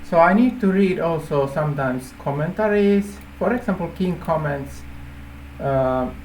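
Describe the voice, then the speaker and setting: Man, Finnish accent, slow delivery, halting informal explainer, faint background noise. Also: on the high side, darkish tone, low-quality recording. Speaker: app developer presenting a feature idea.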